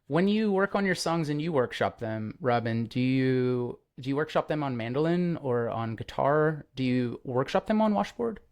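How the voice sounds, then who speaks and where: A young man hosts a podcast in an easy, rambling way, full of "um" and "like". He sounds openly enthusiastic. His voice sits at a middle pitch for a man. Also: expressive, normal speed, hardly any pauses, darkish tone. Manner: enthusiastic, rambling with many fillers. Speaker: podcast host. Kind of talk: casual interview chat.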